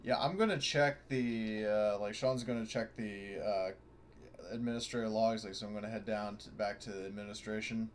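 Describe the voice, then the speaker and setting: Low-voiced man gaming, faint background noise. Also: steady tone. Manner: sweary, halting. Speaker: tabletop game player. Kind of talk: casual game chat. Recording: noisy online voice call.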